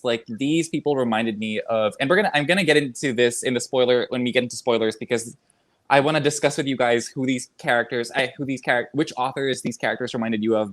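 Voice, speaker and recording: A man co-hosting a live book chat, giving thoughtful, analytical opinions with quick laughs. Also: medium pitch. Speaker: book club co-host.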